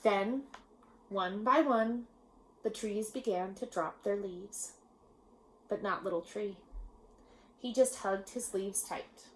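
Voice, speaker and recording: A woman reading a children's picture book aloud in a measured, expressive storytelling manner with pauses. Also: mid-range voice, a little hiss or hum, poor audio. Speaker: storybook reader. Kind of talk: children's read-aloud narration.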